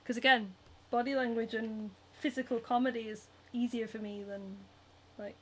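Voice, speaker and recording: A giggly young woman with a Northern English accent chats casually on a livestream, reading out viewer comments between lots of ums and likes. Her voice sits a little high, with a faint hiss behind it. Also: level tone, normal speed. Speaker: cosplayer and livestream host. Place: home, hand sewing while streaming.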